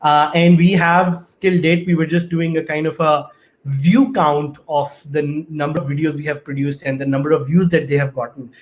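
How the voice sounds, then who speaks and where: A man speaking on a video-call panel, earnest and reflective, often pausing to search for the right words. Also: fairly high pitch, level tone. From Pakistan. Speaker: panelist, event organizer. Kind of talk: casual panel talk over a video call.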